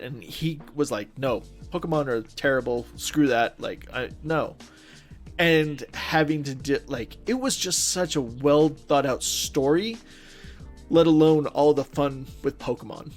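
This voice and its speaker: A man's voice: a little high, measured pace. A man co-hosting a podcast, talking casually in an informal, slangy and agreeable way. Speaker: podcast co-host. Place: recorded remotely, separate from co-host. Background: background music.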